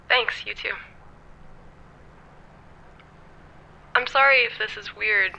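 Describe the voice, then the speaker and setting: An actress playing a gentle, guilty young ex-girlfriend on a telephone, soft-mannered, apologetic and halting, in a naturalistic audio drama. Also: medium pitch, fairly even intonation, gravelly, full character voice. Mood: tentative, apologetic. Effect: telephone filter. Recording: thin, band-limited telephone line.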